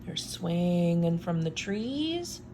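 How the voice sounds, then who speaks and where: Woman storyteller, low register with creaky edges, expressive picture-book reading, offhand wry commentary. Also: drawn-out words, a little hiss or hum. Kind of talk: read-aloud storytime with casual asides.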